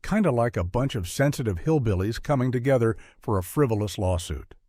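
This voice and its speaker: A man's voice: mid-range voice, animated, brisk, dark tone, no room sound, clean studio sound. A man hosting a scripted satirical interview, blunt, sarcastic and confrontational in his retorts. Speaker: host, mock interviewer.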